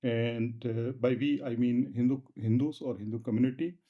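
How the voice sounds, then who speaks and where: A man's voice: medium pitch, fairly even intonation, moderate pace. A man hosting an interview, putting his questions in careful, hesitant phrases on a dull, muffled recording. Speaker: interviewer, host.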